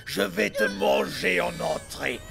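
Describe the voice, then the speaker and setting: An actor voicing a hungry, blustering ogre with a gravelly voice in a French children's cartoon. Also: male voice, high voice, slow pace, heavily acted. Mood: furious. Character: hungry, blustering male ogre, greedy and gullible.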